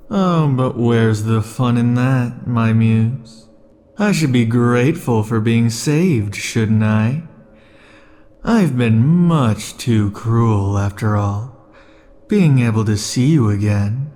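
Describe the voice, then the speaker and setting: A man voicing an obsessive, possessive immortal lover in an ASMR roleplay, speaking softly and slowly with dark chuckles, shifting between tenderness and threats. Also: mid-range voice, natural voice. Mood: cold threatening.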